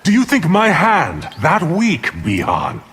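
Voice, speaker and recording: An actor voicing a smug, scheming sorcerer villain in a video game, with a measured, theatrical, sneering delivery. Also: male voice, high-pitched, wildly swooping pitch, over loud music, full character voice. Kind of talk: video game character dialogue.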